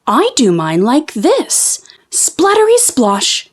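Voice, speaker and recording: A woman voicing innocent, proud farm animals in a children's storybook, with emphatic drawn-out denials and playful sound effects. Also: high voice, huge pitch swings, exaggerated voice. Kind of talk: children's storybook character voices.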